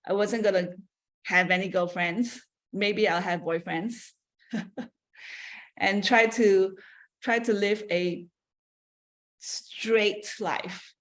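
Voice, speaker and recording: A woman's voice: mid-range voice, steady tone, slow, long pauses, a bit bright. Chatty Malaysian woman telling her story. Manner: conversational, with frequent fillers and self-corrections. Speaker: seminar guest speaker. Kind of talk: personal talk to an audience.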